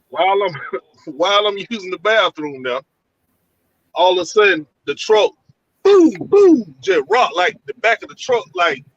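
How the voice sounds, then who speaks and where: Storyteller, slightly high male voice, dry muffled call audio, colloquial and animated. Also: dry recording. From Georgia. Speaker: guest telling an encounter story. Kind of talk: casual storytelling over a call. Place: sitting in his van.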